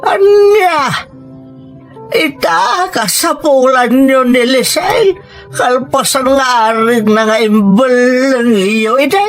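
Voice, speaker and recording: Woman acting a slow, reproachful old grandfather. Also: sits high, soft background music. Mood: bitter, hurt. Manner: scolding, emphatic. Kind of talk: dramatized Ilocano family radio-style story.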